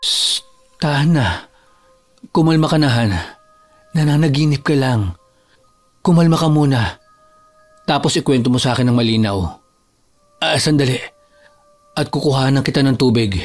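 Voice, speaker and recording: Man playing a gentle, reassuring young boyfriend. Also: medium pitch, normal speed, pauses often, faint music behind, lightly acted. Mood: soothing, concerned. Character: caring, soothing young boyfriend. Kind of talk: audio drama acting.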